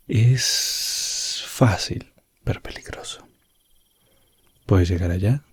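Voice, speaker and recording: A man narrating a medieval ASMR roleplay as an elf prince explorer, in a hushed voice that slips in and out of whispering. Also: on the low side, slightly rough. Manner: hushed, drifting between soft voice and whisper. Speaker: ASMR storyteller.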